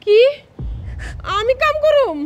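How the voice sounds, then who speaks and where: A woman's voice: extremely high pitch, animated, brisk, muffled recording. A woman acting a demanding, quarrelsome married daughter in a family drama, with a Bangladeshi accent. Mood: indignant. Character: bossy, indignant married daughter visiting her family home.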